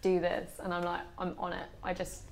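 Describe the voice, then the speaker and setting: A woman's voice: fairly low pitch, monotone, moderate pace, creaky. A young adult woman speaking casually in an interview as an event helper. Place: small lakeside room with large windows.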